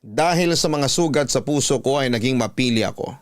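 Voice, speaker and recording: A man's voice: medium pitch, brisk, no room sound, studio quality. A man reading a first-person love story as scripted narration, in the role of a radio storyteller.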